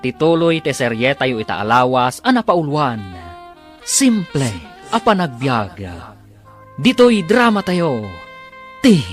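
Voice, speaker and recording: A man delivering dramatic scripted narration as the announcer of a radio drama. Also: a little high.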